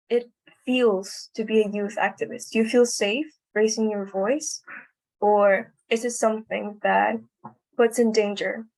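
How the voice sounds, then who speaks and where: A woman's voice: on the high side, poor audio. A young woman from Mexico, politely asking interview questions. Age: young adult.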